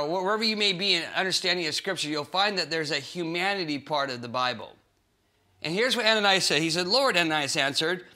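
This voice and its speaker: Man, 40s, pastor teaching to camera; high, very bright voice, animated and playful. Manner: animated, acts out voices in the story. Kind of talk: small-group Bible teaching. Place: cozy living room set with bookshelves and sofa.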